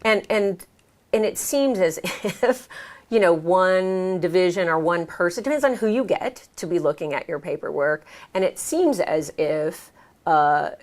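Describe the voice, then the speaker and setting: American lady guest on an interview, voice a bit low, chatty, telling stories casually and acting out bits. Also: animated, a little slow, darkish tone. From United States. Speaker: interview guest, American who moved to France. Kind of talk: casual conversational storytelling.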